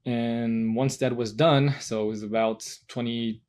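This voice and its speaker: A man's voice: mid-range voice, steady tone, unhurried, darkish tone. A French man in his thirties with a French accent, speaking English as a second language and casually telling his own story as a podcast guest. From France. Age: 30s.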